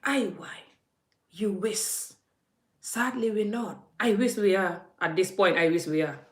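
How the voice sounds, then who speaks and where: A woman's voice: medium pitch, measured pace. A woman vlogging in a forthright, scolding way, speaking straight to the viewer as she makes her case. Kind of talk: impassioned vlog rant. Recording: echoey room.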